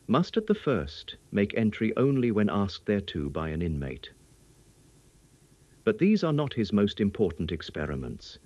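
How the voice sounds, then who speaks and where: A man's voice: low voice, darkish tone, no room sound, muffled recording, full character voice. A man voicing a learned, earnest old professor in an audiobook, lecturing emphatically in quaint English. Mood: patient, explanatory. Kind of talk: audiobook character acting.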